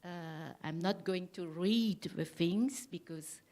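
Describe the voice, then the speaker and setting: Woman panelist in her fifties, unhurried and soft-spoken, speaking into a conference mic. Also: on the low side. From Laos. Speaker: panelist, art expert. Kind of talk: conference talk.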